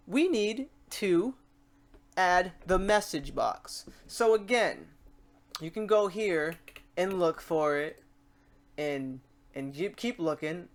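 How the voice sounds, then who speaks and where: A tutorial host talking the viewer through software steps in a casual, animated, slangy way, acting out a learner's questions and exclamations. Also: medium pitch.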